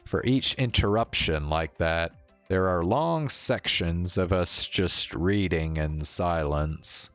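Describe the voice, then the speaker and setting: A man's voice: sits low, slow, a bit bright, faint music behind. A man streaming a visual novel, reading aloud and chatting in a casual way. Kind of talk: narrated read-aloud and casual sign-off.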